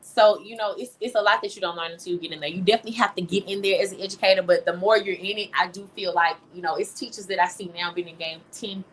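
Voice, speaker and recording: A young American woman with a medium-pitched voice answers interview questions in a casual, conversational way. She speaks informally and with emphasis, dropping in "you know" as she goes. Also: fairly even intonation, fast, hardly any pauses, low-quality recording. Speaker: interview guest, teacher and singer-songwriter.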